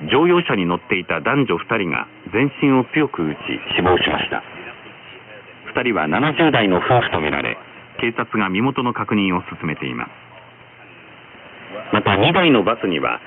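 A man reading scripted news as a radio announcer over shortwave, in a formal, measured manner. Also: lowish voice. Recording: noisy, narrow-band shortwave radio reception. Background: radio static and noise.

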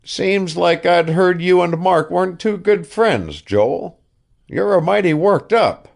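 A man's voice: sits high, expressive, slow, creaky, voice pushed up, full character voice. A man voicing a harsh old ship owner. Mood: curt. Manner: harsh, impatient, clipped. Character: shrewd older male whaling ship owner, curt, evasive. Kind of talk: audiobook character reading.

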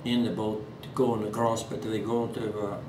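An elderly man in his eighties from Norway, speaking English with a Norwegian accent in an oral history interview at home, halting with many fillers and restarts. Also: fairly low pitch, little pitch movement. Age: 80s. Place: living room at home. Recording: echoey room, distant mic, background hiss.

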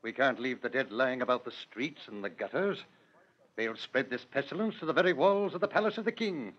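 An actor playing a worried medieval official in a 1940s radio dramatisation. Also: male voice, mid-range voice, lively intonation, a little fast, lightly acted. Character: worried medieval official fretting over plague. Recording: dull old radio broadcast.

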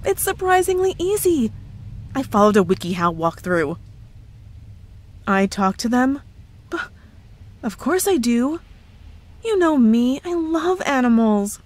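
A woman acts out a cheerful young girlfriend hiding that she is a witch. Her voice sits fairly high and she takes her time, with hesitant pauses. She is playful and evasive, putting on innocence in an intimate audio roleplay. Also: very animated, darkish tone. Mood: defensive, playful. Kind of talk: ASMR roleplay, scripted one-sided dialogue.

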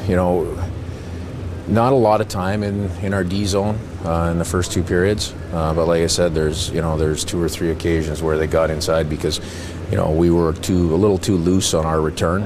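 A middle-aged man with a very low, flat voice talks to reporters after a game, full of "you know" and restarts. Handheld mics sit close to him in front of an arena backdrop. Clear background noise hums behind his voice. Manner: halting, many fillers and restarts. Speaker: hockey head coach. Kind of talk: postgame press conference. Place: arena press backdrop, indoors. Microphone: handheld reporter mics held close.

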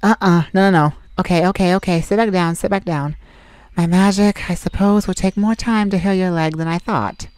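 A woman's voice: fairly low pitch, steady tone, moderate pace, darkish tone, no echo, natural voice. A woman voicing a sassy, snarky male forest fae in an ASMR roleplay, teasing and blunt, recorded on a close mic.

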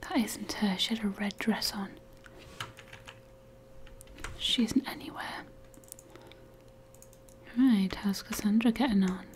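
A woman's voice: medium pitch, fairly even intonation, slow, pauses often. Whispering woman, wry and bossy. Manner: whispering, wry and bossy toward game characters. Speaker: gamer. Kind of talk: whispered life-sim gameplay commentary.

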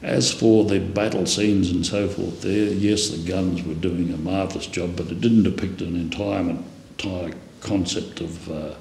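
A man's voice: low voice, fairly even intonation, unhurried, vocal fry, a little mellow. An Australian man in his seventies, a veteran giving a rambling, colloquial, plain-spoken interview. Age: 70s. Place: indoor room, seated. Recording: echoey room, faint noise.

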